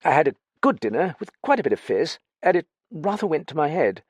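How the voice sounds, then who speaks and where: A male narrator acts out a plump, naive young gentleman in a storybook. The voice is pitched high and swings up and down. He hesitates and stammers, sounding sheepish and a little foolish. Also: big pitch swings, fairly quick, husky, dry recording. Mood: chatty, sheepish. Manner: hesitant, stammering upper-class slang.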